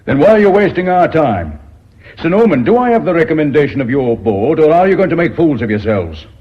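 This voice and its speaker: A hard-bitten, scornful businessman in a radio play. The voice is rough and husky, with big swings in pitch. It rings in a long reverb. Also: very animated, brisk. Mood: brusque, domineering. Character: blunt, contemptuous, hard-bitten Northern businessman. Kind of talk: British radio drama acting. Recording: dull, reverberant radio drama recording.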